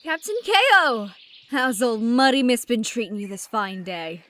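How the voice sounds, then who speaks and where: A woman's voice: on the high side, animated, moderate pace, fairly bright tone. A woman voicing a cheeky, verbose, manic male rogue, theatrical and flippant, in a comic fan dub. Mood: breezy.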